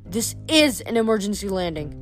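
A woman's voice: mid-range voice, big pitch swings, normal speed, soft background music, natural voice. A woman voicing a determined, persuasive teenage girl heroine in a storybook, urgent and coaxing.

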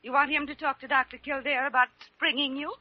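An actress playing a soothing but brisk hospital nurse in an old radio drama, coaxing and placating. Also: female voice, sits high, little pitch movement, no room sound, natural voice. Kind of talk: 1940s-50s radio drama acting. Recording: dull vintage radio recording.

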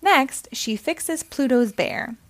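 Slow, animated woman reading to children. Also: high voice. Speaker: children's story reader. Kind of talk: expressive read-aloud narration for young children.

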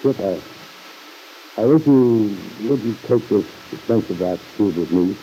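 An actor playing an earnest, patient uncle, speaking in a measured, kindly way in an old-time radio soap opera. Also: male voice, lowish voice, animated, warm tone. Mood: gently concerned. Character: earnest, concerned uncle, a bank man talking gently to his niece. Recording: muffled hissy old radio recording.

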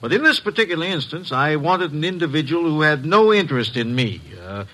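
An actor playing a secretive company president in a 1950s radio drama, formal, careful and hesitant. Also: male voice, on the high side, very animated, fairly quick, bright, forceful tone, heavily acted, rough recording. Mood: businesslike. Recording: vintage 1950s radio recording.

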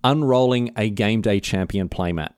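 A man's voice: fairly low pitch, moderate pace, a little mellow, clear, resonant tone, dry recording. Chatty Australian man, dry close sound. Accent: city Australian. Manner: chatty, joking, many restarts. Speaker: podcast co-host. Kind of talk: casual chat.

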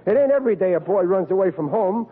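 A thin, hissy old radio recording of a bumbling middle-aged dad in a vintage comedy. His voice runs high for a man, and he blusters and stammers sheepishly as he tries to explain himself. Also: animated, fast talker, phone-quality sound. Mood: sheepish pleading. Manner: stammering, flustered. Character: bumbling, blustering family father, excitable and sheepish. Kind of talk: 1940s radio comedy acting.

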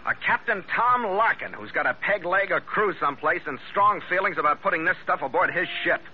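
An actor playing a tough, cynical sea captain in an old-time radio adventure, with a clipped, hard-bitten, wry delivery. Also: male voice, high voice, lively intonation, brisk. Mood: brisk, commanding. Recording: muffled, noisy old radio recording.

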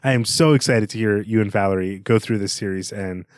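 A man's voice: lowish voice, fairly quick, no room sound. Thoughtful male podcast co-host, dry sound. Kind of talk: conversational literary analysis.

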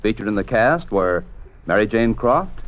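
Male announcer, 1950s radio. Scripted credit read, fairly low pitch, dull archival sound. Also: sing-song, normal speed. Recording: dull vintage radio recording.

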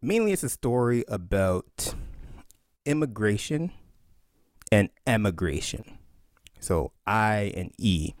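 A man in his thirties, an author answering interview questions in an animated way, laughing often and acting out quotes. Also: lowish voice, takes it slowly, long pauses, no echo, clean studio sound. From Canada. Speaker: author and historian.

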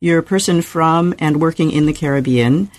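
A woman hosting an interview podcast, reading a measured introduction and asking questions with some hesitation. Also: low-pitched, a little mellow, no echo. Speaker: podcast host.

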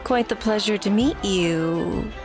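A woman's voice: medium pitch, slow, music in the background. A woman playing a sultry, flirtatious tavern patron in a fantasy audio drama, coy and drawling. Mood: seductive.

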